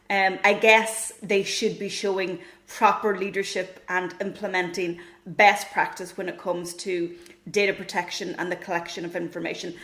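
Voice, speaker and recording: Female podcast interviewer, mid-pitched, conversational delivery, roomy echoing recording. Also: a bit bright.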